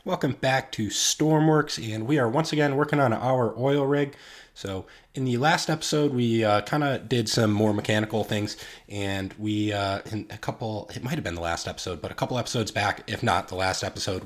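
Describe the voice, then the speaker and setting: A man's voice: fairly low pitch, animated, normal speed. A man hosting a game build series, talking casually with a stuffy, congested voice and lots of fillers. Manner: rambling, filler-heavy, friendly address to viewers. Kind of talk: casual gameplay commentary.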